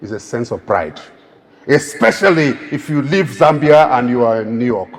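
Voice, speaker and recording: A Nigerian man with a clear Igbo accent addresses an audience in an emphatic, oratorical style, building each point to a rousing peak. His pitch rises and falls in wide, animated sweeps. His voice carries the long reverb of a large hall. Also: normal speed. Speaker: keynote speaker. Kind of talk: public speech.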